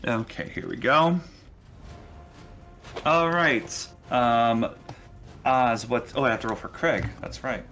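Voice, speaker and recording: A man's voice: medium pitch, lively intonation, slow pace, pauses often, a bit bright, faint music behind. A man acting as game master, casually narrating a tabletop role-playing session and explaining its rules.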